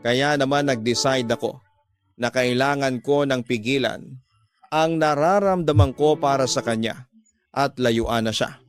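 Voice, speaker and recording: A man's voice: medium pitch, a little slow. Filipino man narrating emotional drama over music. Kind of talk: emotional scripted first-person narration. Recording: music bed behind the voice.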